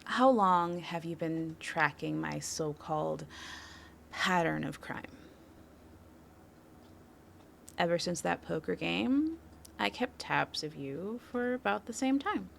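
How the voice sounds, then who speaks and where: Casting: actress as confident seductress crook for audio roleplay; slow, teasing, coy, with fry in the voice. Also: female voice, lowish voice, expressive, slight background noise, full character voice. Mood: seductive, persuasive. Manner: coy, teasing, playful delivery with breathy drops into whispers. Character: flirty, confident female criminal suspect teasing a detective. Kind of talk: flirtatious scripted audio roleplay, addressed to the listener.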